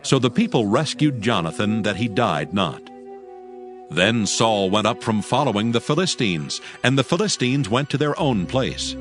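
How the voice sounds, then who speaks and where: Male Bible narrator, low and expressive, formal and solemn, with music beneath the reading. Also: normal speed. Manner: formal, declarative storytelling. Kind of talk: solemn dramatized scripture narration. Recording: music behind the voice.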